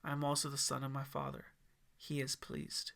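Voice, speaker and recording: A man voicing a weakened, fasting holy man in an audiobook story, solemn and quietly certain, with a scratchy voice. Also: mid-range voice, completely flat delivery, vocal fry. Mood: calm, certain. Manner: solemn, declarative.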